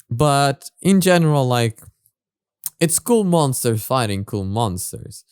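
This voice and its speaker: A man's voice: mid-range voice, very animated, slow pace, a little mellow, smooth, dry recording, studio quality. A man hosting a reaction video, talking casually and analytically, thinking aloud with hesitant, repeated phrases.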